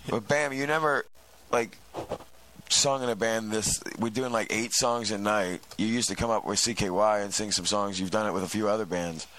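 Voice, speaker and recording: Low-voiced man in slangy, casual chat. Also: steady tone, moderate pace, fairly bright tone, a little hiss or hum, low-quality recording. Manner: profane, slangy. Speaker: radio show co-host and bandmate. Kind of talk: casual chat, prompting questions.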